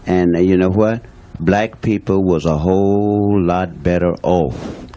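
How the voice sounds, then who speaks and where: A man's voice: low-pitched, takes it slowly, mellow. Emphatic man in his sixties. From Louisiana, USA. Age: 60s. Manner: emphatic, blunt, repeats his points, plain everyday speech. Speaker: guest speaking on a talk show. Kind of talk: impassioned talk show statement.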